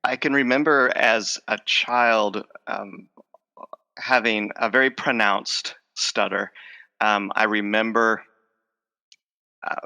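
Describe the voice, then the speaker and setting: A man's voice: fairly low pitch, vocal fry, pushed and bright. A middle-aged man who stutters, with word repetitions and many fillers, speaking reflectively as an interview guest. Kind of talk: conversational interview.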